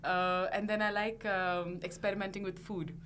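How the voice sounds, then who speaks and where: A woman's voice: mid-range voice, flat delivery, normal speed, a bit bright, slight background noise. A woman with an Indian accent, a sustainability manager answering conversationally as an interview guest.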